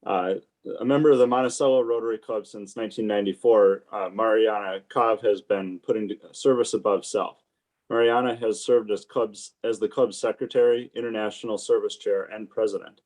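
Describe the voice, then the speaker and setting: A man with a fairly low voice reads a formal introduction of the guest speaker. He goes at a measured pace, with fillers and small restarts along the way. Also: fairly even intonation, a little mellow, low-quality recording. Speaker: day chair introducing the speaker.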